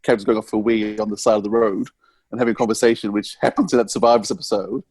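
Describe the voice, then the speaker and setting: A man hosting a conversational podcast interview, tentative and halting as he works towards each question. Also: lowish voice, fairly even intonation, a little mellow, breathy edge, no echo. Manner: tentative, halting, self-correcting.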